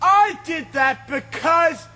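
Very high-pitched theatrical man, excitable. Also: slow, loud music behind the voice. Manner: theatrical, exclamatory. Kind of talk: exaggerated comedic acting in amateur short film. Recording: noisy amateur film sound.

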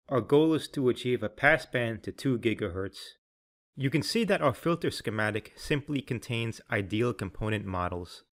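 Male tutorial narrator, medium pitch, scripted delivery, measured, explanatory, clearly enunciated.

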